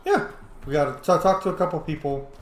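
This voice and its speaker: Animated man casually commenting on gameplay. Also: on the high side, fast talker. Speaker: video game streamer. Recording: echoey room with faint noise.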